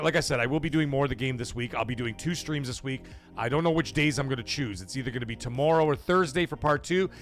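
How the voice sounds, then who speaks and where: A man's voice: mid-range voice, fairly bright tone. A middle-aged man rambles through a casual gaming livestream in a mid-range voice. He swears freely and restarts his thoughts as he goes, with faint music playing under him.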